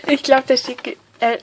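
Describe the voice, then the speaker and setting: Excited woman with a stuffy cold. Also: high-pitched, slight background noise. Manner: chatty, hesitant, many fillers, excited. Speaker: vlogger opening a card-swap letter. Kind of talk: casual unboxing chat, reading letters aloud. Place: indoors at a table, hands-only overhead view.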